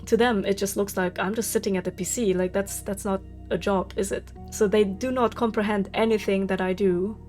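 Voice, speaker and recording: A woman's voice: mid-range voice, steady tone, a little fast, few pauses, darkish tone, faint music behind. A woman, venting candidly in a casual vlog monologue, swearing freely and laughing often. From Germany. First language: German. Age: 30s. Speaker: vlogger.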